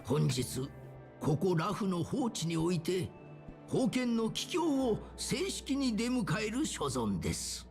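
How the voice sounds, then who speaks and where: Stern old general, wildly varying pitch. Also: male voice, huge pitch swings, moderate pace, exaggerated voice. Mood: solemn. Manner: formal, dignified speech-making. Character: stern, ceremonious elderly male general and grandfather. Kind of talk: anime game voice acting. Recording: music under the voice.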